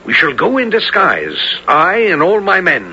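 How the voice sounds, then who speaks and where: An actor playing a bold, hearty English captain in a 1970s radio drama, declaiming in archaic formal speech. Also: male voice, sits high, wildly swooping pitch, normal speed, over loud music, full character voice. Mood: hearty, rallying. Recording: dull, faintly noisy old radio recording.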